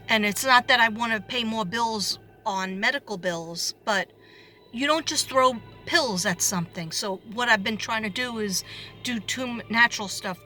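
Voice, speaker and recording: Hoarse lady rambling on to her viewers, lots of ums and restarts, bright voice, soft music in the back. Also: fairly high pitch. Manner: rambling, frequent fillers and restarts. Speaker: psychic reader. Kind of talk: casual monologue to viewers.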